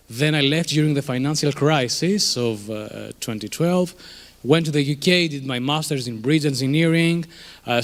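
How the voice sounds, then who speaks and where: Greek guy in his 30s presenting at a conference, slightly high voice, chatty with lots of uhs. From Greece. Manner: conversational, many fillers and restarts. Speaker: conference speaker, startup co-founder. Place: conference room.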